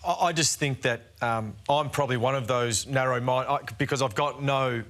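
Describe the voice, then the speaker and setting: A man's voice: mid-range voice, moderate pace, fairly bright tone. An Australian man talking casually as a sports panellist, informal and a little hesitant as he works through his thoughts. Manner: hesitant, informal, self-deprecating. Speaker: panellist, former player.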